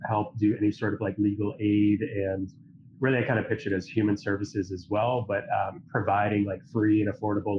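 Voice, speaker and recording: A man talking as a guest in a casual chat, stuffy from a cold, earnest and rambling with many fillers. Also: on the low side. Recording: dull lo-fi audio with faint hiss.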